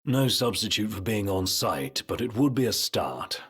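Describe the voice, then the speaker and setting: An actor playing a composed, analytical young advisor in a video game, with precise, formal phrasing. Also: male voice, fairly low pitch, sing-song, fairly bright tone, breathy edge, full character voice. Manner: precise, formal, measured wording. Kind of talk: English video game voice acting.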